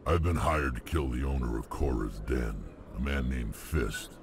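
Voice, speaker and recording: An actor playing a menacing, blunt alien mercenary in a video game, with a gravelly voice and terse delivery. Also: male voice, a bass voice. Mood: guarded, grim. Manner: terse, threatening, deliberate. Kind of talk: video game character acting. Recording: noisy game ambience.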